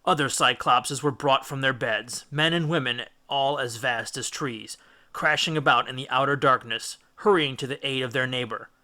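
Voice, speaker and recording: A man's voice: medium pitch, normal speed, bright, forceful tone. A man reading an adventure story aloud as dramatic, scripted audiobook narration. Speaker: audiobook reader.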